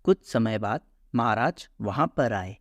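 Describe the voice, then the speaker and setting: A man's voice: lowish voice, lively intonation, a little slow, soft background music. A man narrating a scripted children's story as the storyteller.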